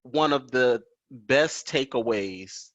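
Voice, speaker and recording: A man's voice: medium pitch, slow, no room sound. A man hosting a home video-call interview, admiring and deferential, often answering "yes, ma'am". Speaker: talk show host. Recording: dull dry video call audio.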